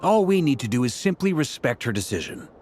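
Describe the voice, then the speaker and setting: An actor playing an easygoing, confident grand master knight in a video game, with a breezy colloquial manner. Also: male voice, medium pitch, very animated, a little fast, a little mellow, slight background noise, close to an everyday voice. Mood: reassuring. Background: music.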